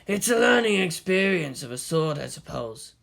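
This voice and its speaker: Guy doing a chill wry older-brother type man in an anime story, dry and musing, lively pitch. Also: moderate pace, vocal fry, full character voice. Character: laid-back, wry adult man, teasing boys.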